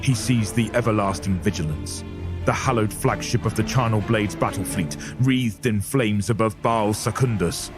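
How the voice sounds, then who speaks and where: A man's voice: on the low side, moderate pace. A man narrating a scripted audio story in a dramatic style. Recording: clear music bed under voice. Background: dramatic music.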